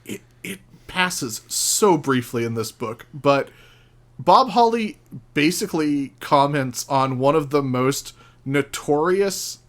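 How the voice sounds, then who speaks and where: A man in a casual podcast discussion, recounting stories in an animated, profane way as a guest. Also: fairly high pitch, takes it slowly.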